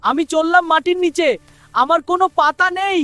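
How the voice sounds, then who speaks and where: A man's voice: extremely high pitch, steady tone, fairly quick. A man voicing a small, plucky, boastful carrot hero in a children's cartoon. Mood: alarmed. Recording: music behind the voice.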